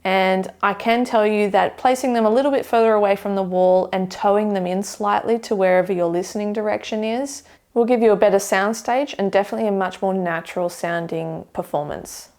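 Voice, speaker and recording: A young woman seated in a living room, giving a measured, detailed review of speakers to camera. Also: mid-range voice, fairly even intonation, a little mellow. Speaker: audio equipment reviewer. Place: living room with hi-fi shelves, indoors.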